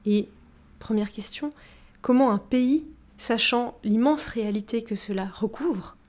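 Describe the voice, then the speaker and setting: A woman hosting a radio interview who asks formal, measured questions. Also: fairly high pitch, a little mellow.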